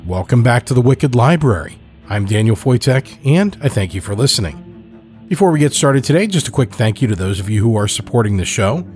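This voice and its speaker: A man's voice: medium pitch, expressive, brisk, a little mellow, faint music behind. A man reading a scripted introduction as the host of a podcast.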